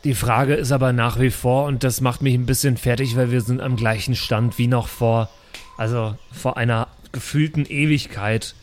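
A man's voice: mid-range voice, fairly even intonation, moderate pace, slight background noise. A man chatting casually as a player in an improvised tabletop role-play show.

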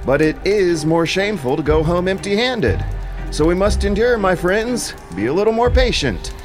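An actor playing a wily Greek commander in an audio drama, rallying weary soldiers with theatrical, coaxing, ornate storytelling. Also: male voice, high voice, lively intonation, moderate pace. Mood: coaxing, persuasive. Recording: music under the voice.

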